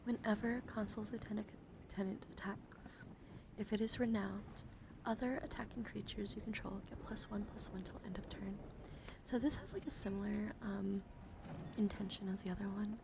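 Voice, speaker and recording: Whispering ASMR woman, creaky edge. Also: mid-range voice, fairly even intonation, moderate pace, noisy background. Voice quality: mostly whispered, breathy. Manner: soothing, playfully encouraging asides. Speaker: livestream host. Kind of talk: whispered ASMR card reading with casual chat.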